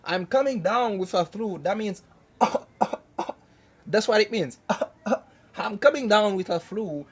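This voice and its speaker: A young man teaching an English lesson at a whiteboard, slowly repeating each phrase several times. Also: high-pitched, expressive, breathy edge. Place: indoors at a whiteboard. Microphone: camera close by.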